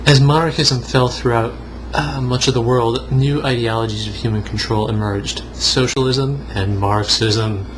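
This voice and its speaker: A man's voice: medium pitch, fairly even intonation, measured pace, background noise. A young man reading aloud mock-seriously, with wry, sarcastic asides. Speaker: co-host.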